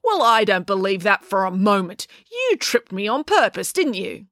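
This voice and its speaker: A woman's voice: mid-range voice, very animated, moderate pace, fairly bright tone, no echo, studio quality. A woman voicing a hot-tempered schoolgirl who talks back sarcastically. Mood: combative. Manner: sarcastic, combative.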